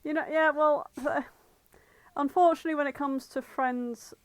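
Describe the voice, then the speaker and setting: A woman's voice: high voice, steady tone, a little slow, no echo. A woman co-hosting a fan podcast in casual chat, informal, opinionated and happy to swear. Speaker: podcast co-host.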